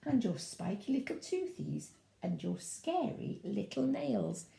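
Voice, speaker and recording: Disapproving dinosaur mother for a storybook, performed by a woman, fussy and dramatic, swooping pitch. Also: big pitch swings, a little slow, creaky, warm tone, echoey room, full character voice. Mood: crooning. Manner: grumbling, dramatic.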